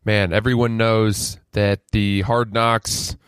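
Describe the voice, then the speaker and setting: A man co-hosting a casual podcast, thinking out loud in a slightly unsure way. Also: fairly low pitch, little pitch movement, slow, smooth, no echo. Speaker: podcast co-host. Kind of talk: casual group chat.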